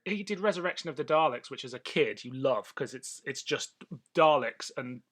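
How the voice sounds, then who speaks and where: A man's voice: medium pitch, animated, normal speed, a bit bright. A man co-hosting a podcast, chatting casually and sharing bits of trivia in an informative way. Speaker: podcast co-host. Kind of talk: casual chat about a vintage TV and radio show.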